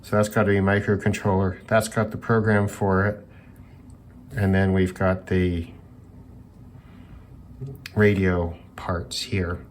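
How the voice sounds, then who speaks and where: A man with a low voice talks through electronics in an unscripted, hobbyist way. He sounds musing and tentative, working things out as he goes. There is some noise behind him in the recording. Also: flat delivery, takes it slowly, long pauses. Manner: musing, thinking aloud, tentative. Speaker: hobbyist reviewer of radios. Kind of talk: unscripted electronics teardown commentary.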